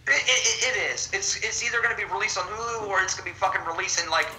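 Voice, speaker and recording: Male co-host, bright voice, lively and crude, mocks with impressions. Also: high-pitched, fast, rough recording. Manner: animated, profane, mocking impressions. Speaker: podcast co-host. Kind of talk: casual movie chat.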